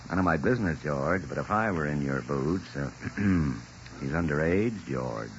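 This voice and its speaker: An actor playing a sly, wry saloon customer with slangy talk, in old-time radio style. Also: male voice, a bass voice, animated, normal speed. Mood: sly warning. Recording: muffled old radio recording, faint hiss.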